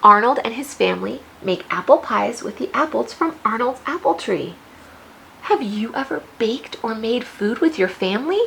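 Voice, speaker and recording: An American woman teacher reading a picture book aloud to young children, expressive, with questions and teaching asides. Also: a little high, moderate pace, creaky, slight background noise, poor audio.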